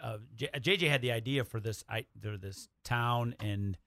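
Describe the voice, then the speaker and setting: An American man with a bright voice and a gravelly creak, swapping stories in a casual studio chat. He acts out other people's remarks as he goes. The sound is close and dry. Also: mid-range voice, normal speed, dry recording. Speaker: radio play writer and guest. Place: radio studio.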